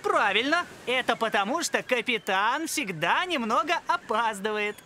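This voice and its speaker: A woman voicing a cocky, cheerful young boy who fancies himself a pirate in an animated film. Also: on the high side, normal speed, very noisy, close to an everyday voice. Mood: boastful. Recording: loud music behind the voice.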